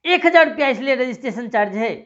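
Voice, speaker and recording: A man promoting a job scheme, pitching straight to viewers and answering their imagined questions with repetition. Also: animated, fast talker, fairly bright tone, muffled recording.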